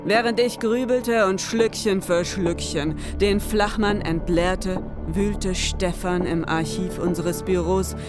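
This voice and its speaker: A woman playing a pompous, gruff, world-weary noir detective, narrating a crime radio play in a dramatic, deliberate manner. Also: mid-range voice, steady tone, music in the background, close to an everyday voice. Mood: brooding.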